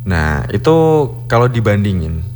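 A man's voice: on the low side, moderate pace. A young man co-hosting a radio show in casual, agreeable, repetitive banter. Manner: informal, agreeable, repeats short phrases. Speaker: radio co-host. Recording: audible background hum.

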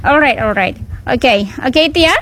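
Woman, radio host, casual chat, slightly high voice, encouraging, frequent restarts. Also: lively intonation, moderate pace, fairly bright tone, slight background noise. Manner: chatty and encouraging, many restarts and fillers. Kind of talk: casual on-air chat with a guest.

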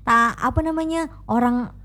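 A woman in a casual radio chat, talking lively and asking plenty of curious questions. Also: fairly high pitch, normal speed.

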